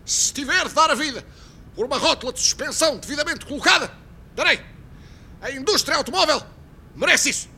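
Um homem a fazer de operário português gabarola e muito estridente, voz agudíssima. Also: male voice, extremely high pitch, a little fast, pauses often, a little hiss or hum, exaggerated voice. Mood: excited. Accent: European Portuguese. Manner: bombastic, excitable, swearing. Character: boastful, foul-mouthed immigrant car-factory worker, excitable man. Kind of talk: exaggerated comedy sketch acting.

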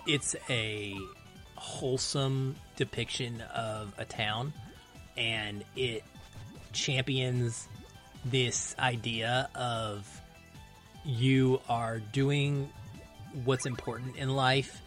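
A man's voice: medium pitch, fairly even intonation, very slow, fairly bright tone, soft background music. A man giving emphatic, opinionated film commentary in a casual podcast conversation.

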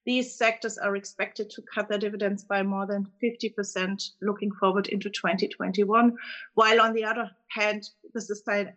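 A woman's voice: medium pitch, a bit bright, rough recording. A woman with a German accent, a guest analyst explaining dividend trends in a conversational interview, fluent with frequent fillers.

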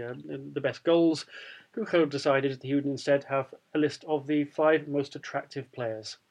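A man co-hosting a news podcast, chatting casually and then reading news items, laughing easily. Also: on the high side. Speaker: podcast co-host. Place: lives in the Netherlands.

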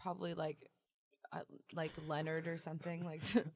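A woman's voice: low voice, flat delivery, brisk, vocal fry, no room sound, clean studio sound. A young Canadian woman chatting casually as an interview guest, dryly joking and self-deprecating. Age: young adult. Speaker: musician and writer guest. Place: radio studio.

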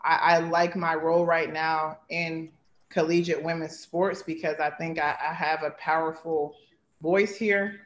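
A woman's voice: sits low, little pitch movement, measured pace, echoey room, a little hiss or hum. A woman in her thirties, a basketball coach, giving earnest, heartfelt interview answers full of "like" and "you know". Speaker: basketball head coach, guest.

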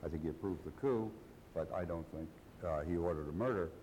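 A man with a very deep, dark voice speaks haltingly and keeps correcting himself mid-sentence. He is on a panel in a large hall with a long echo and a faint rumble behind him. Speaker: historian on a panel. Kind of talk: panel discussion.

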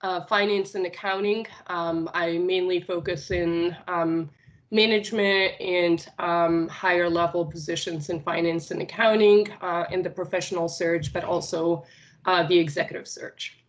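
A woman from Tunisia whose first language is Arabic, answering interview questions in a casual, unhurried way with many filler sounds. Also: on the low side, steady tone. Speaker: finance recruiter, podcast guest.

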